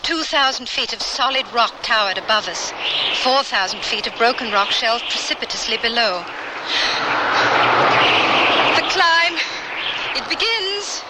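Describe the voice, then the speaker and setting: A woman's voice: a little high, lively intonation, moderate pace, very noisy, close to an everyday voice. An actress playing a genteel, earnest lady traveler in a radio drama, with a formal, literary and theatrical delivery. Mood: awed, dramatic. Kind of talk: dramatic first-person radio narration.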